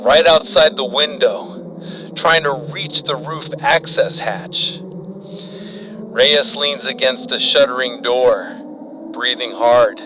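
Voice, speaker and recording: A man narrating scripted horror dramatically and gravely, in clipped, halting phrases with heavy pauses. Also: medium pitch, noisy background.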